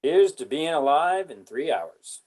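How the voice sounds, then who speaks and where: A man's voice: fairly high pitch, sing-song, measured pace, darkish tone, poor audio. A man playing an easygoing, hearty military squad leader with breezy delivery in an amateur table read.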